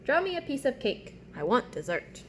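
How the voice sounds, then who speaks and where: A woman's voice: sits high, very animated, normal speed, a bit bright. Lady doing a grumpy growly monster from a kids book, barking demands, bit of hiss behind. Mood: grumpy, demanding. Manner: blunt, barking orders.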